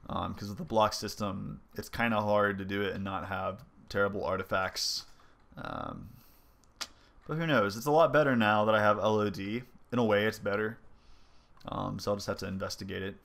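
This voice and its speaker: A man's voice: on the low side, steady tone, normal speed, creaky, fairly bright tone. Congested young man rambling through a devlog. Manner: rambling, many ums and likes, thinking aloud. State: stuffy, congested. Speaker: indie game developer. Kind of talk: casual devlog commentary over gameplay.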